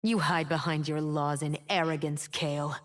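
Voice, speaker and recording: A woman's voice: low-pitched, level tone, normal speed, close to an everyday voice. A woman playing a bitter, defiant sister in a video game drama, with a cool, cutting delivery. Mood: cool reproach.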